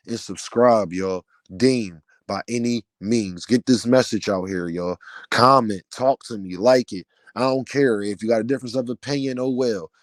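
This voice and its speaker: Loud, heated man ranting with a deep voice. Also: no room sound. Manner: loud, heated, preaching cadence. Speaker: vlogger, channel owner. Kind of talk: passionate rant to camera.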